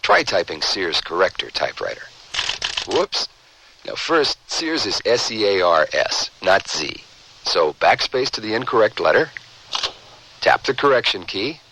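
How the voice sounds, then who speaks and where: An actor playing a brisk instructor demonstrating a product in a scripted radio advertisement. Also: male voice, lowish voice, big pitch swings. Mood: instructive. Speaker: commercial announcer. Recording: radio ad with faint noise.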